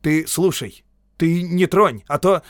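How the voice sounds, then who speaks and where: A man's voice: a little high, slow pace, no room sound, voice pushed up. Man as tough peasant woman, raised voice, curt, scolding, defiant, rustic storybook character, dry close sound.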